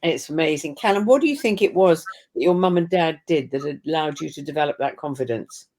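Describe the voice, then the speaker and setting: A woman's voice: low voice, animated, fairly quick, darkish tone. A woman hosting a webinar interview, curious and asking follow-up questions over a video call. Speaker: host.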